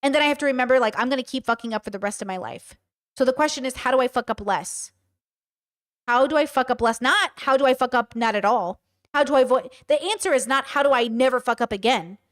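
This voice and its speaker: A woman's voice: high voice, fast, fairly bright tone. A woman in her thirties streaming live, talking candidly to her chat with frequent swearing and rhetorical questions. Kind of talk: livestream commentary, reading chat.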